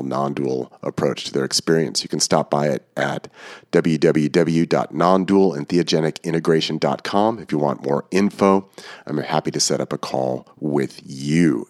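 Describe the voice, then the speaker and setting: A man hosting a podcast, congested from a cold, talking in a relaxed, rambling way with fillers. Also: rumbling low, no echo, studio quality. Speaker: podcast host. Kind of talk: casual podcast talk.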